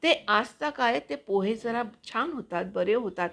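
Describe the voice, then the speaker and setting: A woman hosting her own channel, chatting to viewers in a casual, explanatory way with hesitant pauses as she finds her words. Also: fairly high pitch, animated, creaky, fairly bright tone. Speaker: actor and video channel host.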